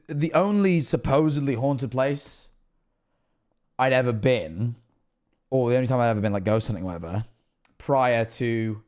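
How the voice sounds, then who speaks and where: A young man in his twenties streaming from his bedroom, rambling and trailing off quietly, with a voice that is going rough and hoarse. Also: medium pitch. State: losing his voice, rough throat. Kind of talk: casual reaction stream chat. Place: bedroom streaming setup indoors. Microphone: headset on a streaming setup.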